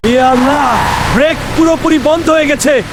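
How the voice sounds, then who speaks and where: A man's voice: extremely high pitch, fairly even intonation, brisk, crowd noise, background noise. A man playing a devout, caring bus driver in an Islamic cartoon story, speaking in earnest, pious exhortations. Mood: panicked pleading. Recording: background music under the voice.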